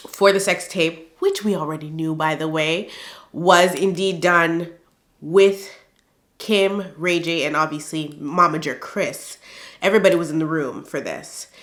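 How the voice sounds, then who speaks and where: A woman in her thirties hosting a gossip channel, sounding nasal and stuffed up from the flu, talking in an animated, chatty way and calling her viewers "girl". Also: on the low side. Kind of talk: casual celebrity gossip chat.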